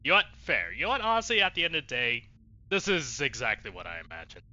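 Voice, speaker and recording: A man doing expressive, emotional gameplay commentary as a streamer, casual and sweary. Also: medium pitch, fast talker. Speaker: let's play streamer. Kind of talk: gameplay commentary with reading aloud of dialogue choices. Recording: dull lo-fi mic, faint noise.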